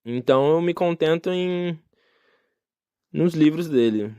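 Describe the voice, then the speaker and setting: A man's voice: on the high side, slow, mellow, smooth, no echo, studio quality. A young man hosting a solo podcast, talking in a casual, reflective and rambling way with many fillers. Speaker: podcast host. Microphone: phone.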